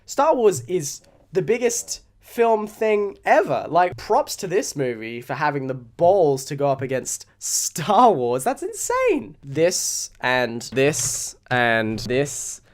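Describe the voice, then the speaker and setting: A man's voice: on the high side, sing-song, slow pace. A man giving an informal, slangy film review, talking conversationally as a vlogger. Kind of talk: casual opinion review to camera.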